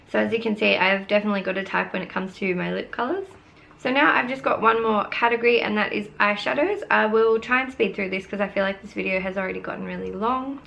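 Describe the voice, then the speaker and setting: A young woman with a hoarse, fading voice, chatting eagerly through her beauty favourites as a vlogger. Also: mid-range voice, a bit bright. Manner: chatty and gushing, showing products to camera. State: losing her voice. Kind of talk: casual product review vlog.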